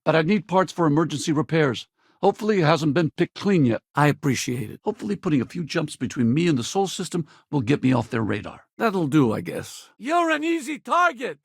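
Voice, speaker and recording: An actor playing an easygoing, gruff middle-aged spacefaring militia veteran and regretful father in a video game, with a gravelly voice and a casual, folksy, wry manner. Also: a little high, big pitch swings, fairly quick, no echo, studio quality, full character voice. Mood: matter-of-fact, then combat shouting, urgent. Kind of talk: video game companion dialogue.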